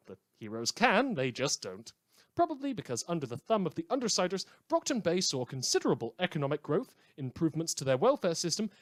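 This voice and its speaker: A wry, opinionated radio newsreader in an audio drama, acted by a man, with a somewhat high voice that rises and falls dramatically. He delivers scripted bulletins in a theatrical, dryly ironic announcer style. The sound is close and dry. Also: no room sound. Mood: dryly newsy. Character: wry, opinionated male host of post-apocalyptic community radio. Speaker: radio host.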